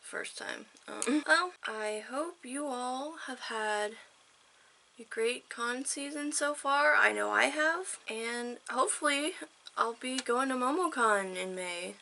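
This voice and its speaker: A woman vlogger talking casually to her viewers, her voice hoarse and a little worn, rambling with fillers and laughs. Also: sits high, animated, slow pace, bright, forceful tone. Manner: rambling, chatty, laughs often. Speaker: vlogger and interviewer. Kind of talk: casual vlog chat.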